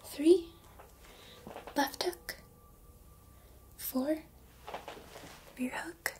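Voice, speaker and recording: A woman playing a calm, exacting combat robot trainer in soft, often whispered ASMR roleplay, with formal, precise instructions. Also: high-pitched, extremely slow. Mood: calm, instructive.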